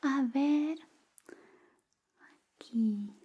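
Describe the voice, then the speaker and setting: Slow, soft-whispering woman. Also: sits high. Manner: soft whispering, close and gentle. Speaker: ASMR roleplay friend giving a grooming session. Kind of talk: ASMR roleplay.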